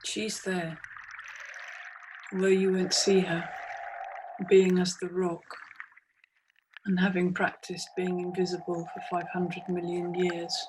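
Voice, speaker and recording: Woman doing guided meditation, really slow, short hypnotic phrases w long gaps, ambient noise behind her. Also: on the low side, completely flat delivery. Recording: clearly audible background noise, ambient soundscape. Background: ambient soundscape.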